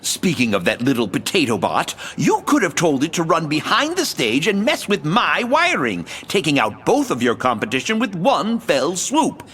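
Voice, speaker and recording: A proud, suspicious male inventor in an audio drama. His delivery is theatrical and insinuating, swooping widely in pitch. The voice has a slightly rough, husky edge. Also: wildly swooping pitch, normal speed, hardly any pauses, a bit bright, exaggerated voice. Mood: accusing.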